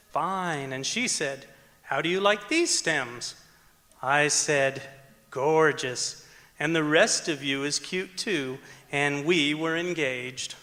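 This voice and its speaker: A man playing an excitable, emphatic comic friend in an old-time radio comedy. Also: fairly high pitch, full character voice. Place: large auditorium stage, live performance. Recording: echoey auditorium stage recording.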